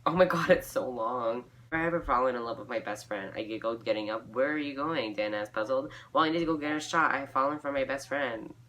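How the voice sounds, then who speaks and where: Low-voiced young woman reading aloud, bright tone. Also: brisk, few pauses. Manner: giggly, self-mocking. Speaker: vlogger reading own fan fiction aloud. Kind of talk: informal read-aloud with casual asides.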